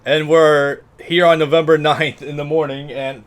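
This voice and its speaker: A man's voice: a little high, flat delivery, moderate pace, clean tone. A man from the United States co-hosting a let's play, chatting casually and swearing freely. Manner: casual and profane. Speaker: let's play co-host and gamer. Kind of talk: casual gaming chat and commentary.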